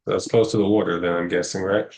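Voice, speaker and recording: A man's voice: low voice, fairly even intonation, quick pace, vocal fry, a little mellow. A man from Miami, a prospective client on a sales call, answering in short, easygoing replies. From Miami, Florida.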